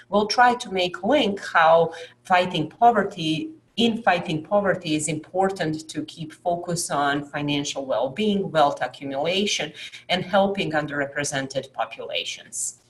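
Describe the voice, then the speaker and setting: A woman's voice: low voice, low-quality recording. A woman who is an associate professor, giving a prepared informational presentation.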